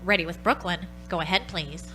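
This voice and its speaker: A woman plays a detached switchboard operator in a vintage radio drama. Her lowish voice is bright and sharp, rattling off procedure in a clipped, impersonal way. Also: brisk, faint music behind, low-quality recording. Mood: impersonal, insistent. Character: brisk, impersonal female telephone operator. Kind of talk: old-time radio play acting.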